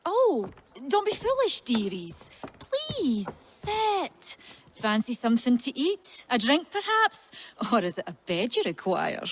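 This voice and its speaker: An actress playing a sharp-tongued yet coaxing innkeeper in a video game, with theatrical, drawn-out delivery. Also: female voice, high-pitched, huge pitch swings, full character voice. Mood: sugary, welcoming. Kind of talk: video game cutscene acting. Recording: clear background noise.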